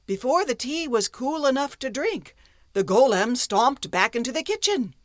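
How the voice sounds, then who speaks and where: A woman narrating a folktale as a storyteller, with expressive, dramatic phrasing. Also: sits high, normal speed, background noise.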